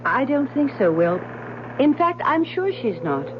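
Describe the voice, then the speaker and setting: An actress playing a shrewd, sharp-eyed gentlewoman wife in a radio drama, speaking in a knowing, firm, persuasive way. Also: sits high, sing-song, a little slow. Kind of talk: 1970s radio drama acting. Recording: muffled, hissy old radio broadcast.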